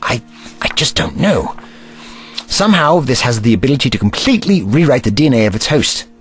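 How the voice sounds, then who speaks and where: A man playing an eccentric, brainy time traveller in an audio drama, kindly but testy, musing aloud in a wordy, gently pedantic manner. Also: medium pitch, very animated, brisk, soft background music, lightly acted. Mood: puzzled, determined.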